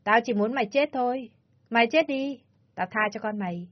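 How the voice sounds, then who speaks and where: A spiteful woman kidnapper full of jealousy spits threats in a raised, strident voice, as a woman narrator puts her on. Her husky edge cuts through a dull, lo-fi audio-story recording. Quiet music plays behind her. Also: on the high side, moderate pace, lightly acted. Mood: cold. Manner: harsh, contemptuous and shouting.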